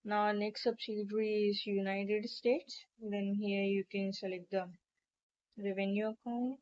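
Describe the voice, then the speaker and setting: A woman narrating a step-by-step software tutorial in an instructional, explanatory way. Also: medium pitch, fairly even intonation, a little slow, a little hiss or hum. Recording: dull, lo-fi microphone sound.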